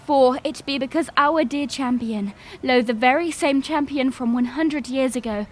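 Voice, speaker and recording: A woman's voice: sits high, moderate pace, faint music behind. A woman playing a young princess addressing her people in an audio drama, formal, earnest and declamatory. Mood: resolute, proud. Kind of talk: audio drama acting, oratorical speech.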